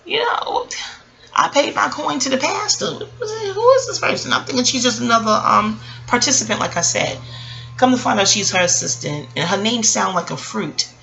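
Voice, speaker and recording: A woman's voice: on the high side, moderate pace, creaky, rough recording. A woman in her early forties telling a personal story in a casual, reflective, rambling way, often digressing and acting out what people said. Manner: rambling, digressive, animated retelling with acted-out quotes. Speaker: vlogger.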